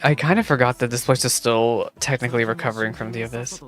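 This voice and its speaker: A woman livestreaming a game playthrough, chatting casually with viewers in a gushing, expressive way. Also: extremely low pitch, quick pace. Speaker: streamer reacting to a game playthrough. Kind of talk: casual livestream chat and commentary. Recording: game music behind the voice. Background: game music.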